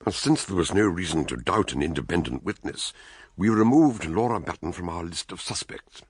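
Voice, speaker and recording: Eccentric British sleuth, radio drama: deep, husky, blustering and wry. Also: male voice, lively intonation, normal speed. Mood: musing, dramatic. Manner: theatrical, hesitant bumbling mixed with wry pronouncements. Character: eccentric, jovial, blustering male amateur detective and doctor. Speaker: detective character in radio drama. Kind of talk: BBC radio drama acting, dialogue and narration.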